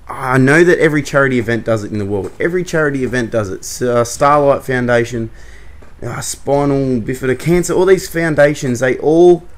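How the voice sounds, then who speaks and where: A young man talks to his camera in a loose, opinionated commentary. His voice sits at an ordinary middle pitch. He wanders off track, corrects himself and slips in small laughs. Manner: rambling and opinionated, self-correcting with short laughs. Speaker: YouTuber.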